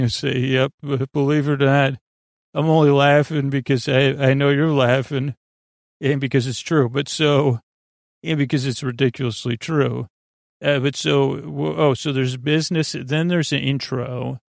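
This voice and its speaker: A man hosting a sleep podcast in a meandering, halting, absent-minded monologue with a gravelly, creaky voice. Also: mid-range voice, dry recording, clean studio sound. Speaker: podcast host.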